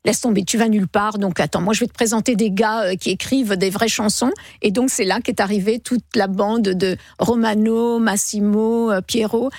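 A woman in her sixties, a singer guest on a radio show, telling stories in a lively, chatty way. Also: medium pitch, moderate pace, few pauses, dry recording, clean studio sound. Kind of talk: casual radio interview. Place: radio studio. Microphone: studio microphone.

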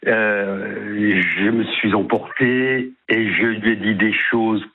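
A man in his fifties calling into a radio show, tired and halting, repeating words as he rambles. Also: fairly low pitch, level tone, husky. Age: 50s. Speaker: caller. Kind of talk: phone-in confiding. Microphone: phone. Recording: tinny phone line.

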